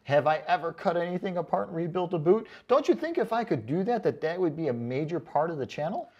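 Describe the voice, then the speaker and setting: A man's voice: fairly high pitch, big pitch swings, fast, a little mellow, muffled recording. A man in a casual comedic rant, reading out comments as an online host, sarcastic, mocking and freely swearing, with emphatic delivery. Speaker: online boot review video host.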